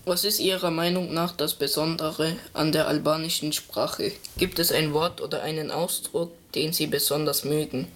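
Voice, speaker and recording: An interviewer who puts short, polite questions to a guest. The delivery is formal and measured, with a mid-range voice. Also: medium pitch, fairly even intonation, a bit bright.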